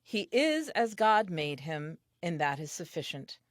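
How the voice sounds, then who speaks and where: Woman playing a tender, wondering first woman, animated. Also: low-pitched, unhurried, no room sound, clean studio sound. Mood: tender, reasoning. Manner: reflective, earnest, expressive. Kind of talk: audio play diary monologue.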